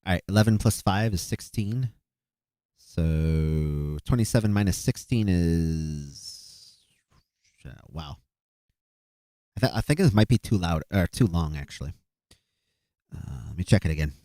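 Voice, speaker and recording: A man's voice: deep voice, animated, unhurried, pauses often, dark tone, dry recording, studio quality. A man hosting a live stream, judging beatbox entries and thinking aloud with hesitant fillers and restarts. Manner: calculating aloud while reviewing clips. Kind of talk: casual live-stream commentary.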